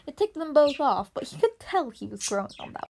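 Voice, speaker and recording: A woman's voice: high voice, sing-song, a little fast, creaky, a little mellow. A woman reading fan fiction narration aloud as an amateur podfic reader. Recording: noisy amateur recording with birds chirping.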